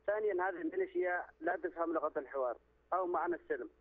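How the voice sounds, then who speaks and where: A man's voice: on the high side, racing, no echo. Man calling in by phone, pushy and repetitive, phone-line sound. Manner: insistent, repeats phrases, many restarts. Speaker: phone-in caller. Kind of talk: political opinion on a call-in show. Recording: dry tinny phone line.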